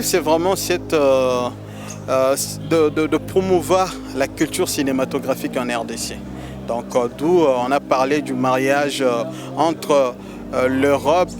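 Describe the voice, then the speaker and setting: A Congolese man from DR Congo, a festival organizer answering interview questions in a hesitant way, with many filler sounds and repeated words. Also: mid-range voice. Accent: Congolese French.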